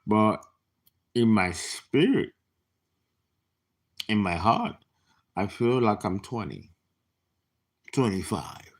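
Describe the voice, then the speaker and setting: A man in his late fifties reads tarot for his viewers in a relaxed, talkative way. His voice sits low but leaps up and down expressively, and he takes his time. He slips into whispers and laughs between thoughts. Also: slow pace, pauses often. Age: 50s. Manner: animated, chatty, laughing asides, sudden whispers. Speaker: tarot reader. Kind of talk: casual live tarot reading.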